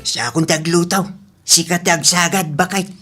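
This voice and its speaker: A man's voice: a little high, fairly even intonation, moderate pace, loud music behind the voice, natural voice. A man playing a folksy, teasing older uncle with an Ilocano accent in a radio drama. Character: older uncle, the husband who cooks.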